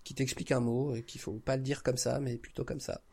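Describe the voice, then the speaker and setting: Guy on a podcast, lots of vocal fry, chatting casually, kinda hesitant. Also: mid-range voice, flat delivery, fairly quick, darkish tone. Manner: hesitant, searching for words. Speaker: podcast guest, audio fiction creator. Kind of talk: casual one-on-one chat.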